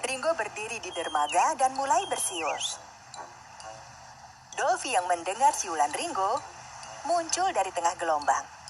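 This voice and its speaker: A woman's voice: mid-range voice, noisy background. A woman narrating a scripted fairy tale for children. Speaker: storyteller, narrator. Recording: music under the voice. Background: music.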